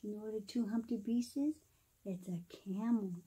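A middle-aged woman reads a rhyming children's story aloud in an expressive, dramatic manner, pausing for emphasis. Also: medium pitch, a little hiss or hum, rough recording. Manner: dramatic, emphatic phrasing with pauses, explaining asides to children. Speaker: pastor reading a children's story.

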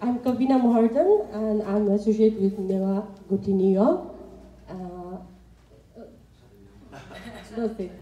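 A woman's voice: mid-range voice, a little slow, pauses often, dark tone. A woman giving a brief public self-introduction. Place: large indoor hall at a podium. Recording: dull echoey hall recording, faint noise.

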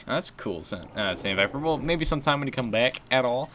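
A young man with a deep voice chatting on a gaming stream, low-key and rambling with fillers and restarts. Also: animated, a little fast, fairly bright tone, a little hiss or hum. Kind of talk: casual gaming stream chat.

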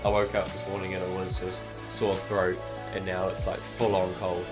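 A young man, croaky with a cold, chatting upbeat to camera in his living room, filming at arm's length. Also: deep voice, completely flat delivery, normal speed, music in the background. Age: young adult. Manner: chatty, upbeat, filler-heavy. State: hoarse with a cold. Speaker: vlogger. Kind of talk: casual vlog chat to camera. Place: living room at home, indoors.

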